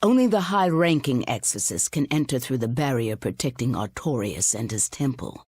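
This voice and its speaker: A woman's voice: rumbling low, expressive, darkish tone, slightly rough, dry recording, exaggerated voice. An actress voicing a courteous, sly old woman informant in a video game, speaking in a genteel manner. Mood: dry, matter-of-fact. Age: older. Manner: genteel, unhurried, addresses others as dear. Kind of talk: English video game voice acting.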